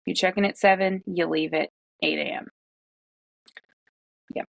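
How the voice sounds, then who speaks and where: A young woman from the with a gravelly, fry-edged voice that sits on the low side, talking in a casual vlog. She rants with incredulous, exasperated asides. The recording is dry and close. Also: fairly even intonation, measured pace, no room sound. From Massachusetts, North Shore of Boston. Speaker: true crime YouTuber.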